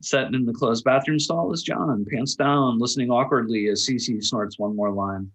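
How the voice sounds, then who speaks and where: Husky man reading stage directions. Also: mid-range voice, normal speed, rough recording. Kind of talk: script narration at a table read.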